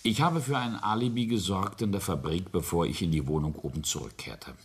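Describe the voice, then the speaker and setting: Deep, gravelly, menacing patriarch. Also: male voice. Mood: cold menace. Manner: sardonic, deliberate, with whispered drops. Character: menacing, mocking family patriarch and ambitious industrialist. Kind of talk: German radio play acting.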